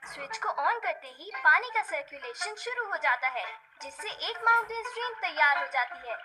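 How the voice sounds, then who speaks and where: A woman voicing an earnest, excitable childlike character in a Hindi cartoon dub. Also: very high voice, normal speed, loud music behind the voice. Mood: eager, explaining.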